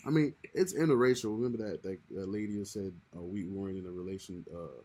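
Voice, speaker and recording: A man's voice: sits low, fast talker, dark tone. A young man co-hosting a casual livestream with his wife, rambling and repeating himself as he restarts his sentences. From Haiti. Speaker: co-host of a married couple's livestream.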